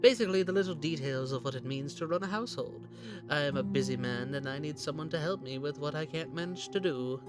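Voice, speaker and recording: A man voicing a sly, courteous, menacing gentleman hunter in a comic dub, speaking in a theatrical, genteel way. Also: fairly high pitch, quick pace, lightly acted. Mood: persuasive, sly. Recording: background music under voice.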